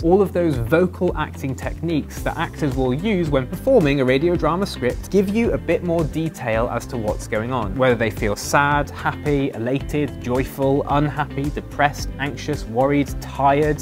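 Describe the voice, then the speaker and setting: A man in his thirties presenting a lesson to camera in a casual, explanatory, chatty way, as a drama teacher would. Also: on the high side. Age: 30s. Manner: chatty, explanatory, listing examples. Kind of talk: casual instructional talk to camera. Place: large dark theatre hall, seated at a desk.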